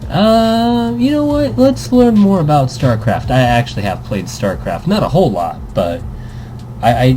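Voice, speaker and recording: Casual male gaming host, relaxed low-key pace, swooping intonation, jokey and rambling. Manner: jokey, rambling, easily amused. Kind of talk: casual let's play commentary. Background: music.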